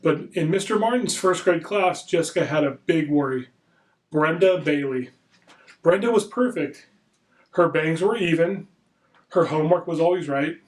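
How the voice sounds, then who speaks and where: A male reader with a fairly high voice for a man reads a children's story from a book. He narrates it aloud line by line. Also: moderate pace.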